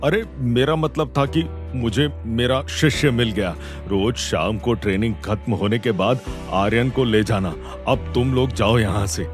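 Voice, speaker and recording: A man's voice: medium pitch, steady tone, a little slow, hardly any pauses, soft background music, lightly acted. A man playing a proud, ageing martial arts master with an authoritative manner in a Hindi audio drama. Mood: stern, dismissive. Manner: authoritative, commanding.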